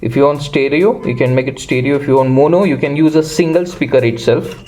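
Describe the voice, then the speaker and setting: A man presenting an unscripted, step-by-step electronics tutorial, halting and pausing in the middle of phrases. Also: medium pitch, steady tone, dark tone, soft background music.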